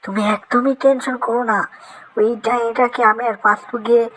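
A woman's voice: on the high side, steady tone, fairly quick, a bit bright, a little hiss or hum, natural voice, rough recording. A woman voicing a bold, protective male cartoon friend, earnest, indignant and reassuring by turns. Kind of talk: cartoon dialogue.

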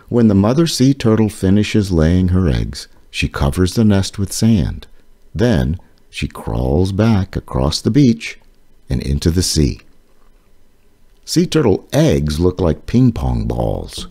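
Slow, very deep-voiced man reading aloud. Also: dark tone. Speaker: author reading aloud his children's book. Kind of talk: scripted read-aloud of an educational children's book.

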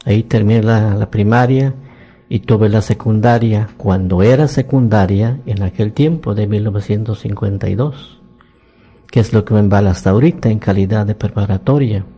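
An elderly man from Yucatán, Maya his first language, talks in Spanish with a Yucatec accent. His voice is mellow and dark, and he tells his story slowly, without hurry. He is recorded in a radio studio with faint music behind him. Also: lowish voice, breathy edge, lo-fi sound. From Yucatán, Mexico. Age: 90s. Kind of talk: recounting life story in interview.

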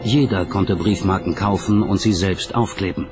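A man's voice: sits low, normal speed, over music. A man narrating a documentary from a script in a dramatic storytelling style.

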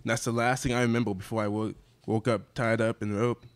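A man's voice: fairly low pitch, little pitch movement, fast talker, lightly acted. Young man as groggy train guard. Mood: dazed, apologetic. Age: young adult. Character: groggy, apologetic train guard just knocked out. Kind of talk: student live radio play, read from script. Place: classroom studio, indoors.

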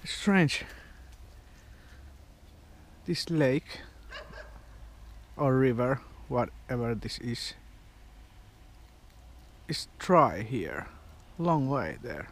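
A man's voice: medium pitch, lively intonation. A man, vlogging outdoors from a camera held at arm's length, musing in a rambling, wry way. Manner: rambling, wry, unhurried musing with short fragments. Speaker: van life vlogger. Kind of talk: casual walking vlog. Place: outdoors in a quiet village park and street.

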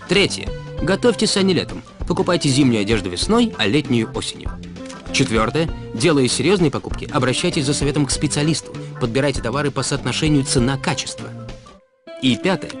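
A male narrator delivers a scripted explainer with lively, varied intonation at a medium pitch. His delivery is measured and instructive, with deliberate pauses, and faint music plays underneath. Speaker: narrator of an educational cartoon about personal finance. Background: background music.

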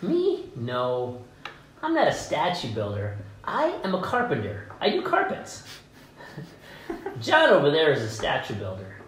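A man's voice: fairly high pitch, wildly swooping pitch, unhurried, a bit bright, full character voice. Man playing a wildly swooping, blunt townsman. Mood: incredulous, earnest. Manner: exaggerated, incredulous, comic. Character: blunt, indignant townsman carpenter with goofy exasperation. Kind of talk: amateur script table read. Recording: roomy echo, faint background noise.

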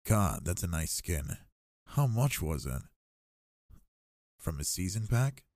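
A man's voice: low-pitched, sing-song, slow, darkish tone, dry recording, clean studio sound, exaggerated voice. A young man playing an affectionate, cocky gamer boyfriend in an intimate ASMR roleplay, with slangy, teasing talk.

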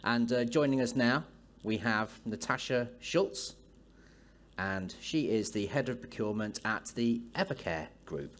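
A man's voice: medium pitch, lively intonation, a little slow, fairly bright tone. A man hosting an on-stage conference interview, chatty and loose with his ums, through a headset mic. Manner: chatty, friendly banter with ums. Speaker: interviewer, host. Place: stage of a large conference hall.

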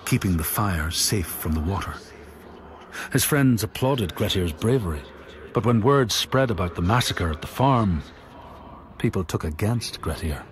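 An actor playing a grave storyteller who narrates Norse legends in a solemn, deliberate way for a video game. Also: male voice, lowish voice, animated. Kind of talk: scripted saga narration.

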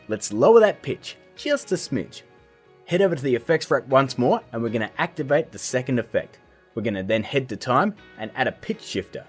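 Casting: male tutorial host, thirties, lively swooping delivery, slightly rough voice, jokey and casual. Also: medium pitch. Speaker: host of a filmmaking tutorial show. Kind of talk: playful scripted tutorial to camera.

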